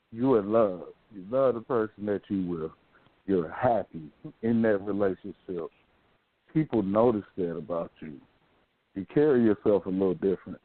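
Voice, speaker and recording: Male radio host, American, deep-leaning voice, loose colloquial storyteller, dry but thin telephone-grade audio. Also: no echo. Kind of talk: casual call-in radio discussion. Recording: narrow phone-like sound.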